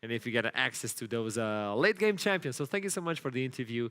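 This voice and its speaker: A man hosting a live esports broadcast desk, talking in a lively way and often repeating words as he starts a sentence. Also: mid-range voice, fast talker, smooth.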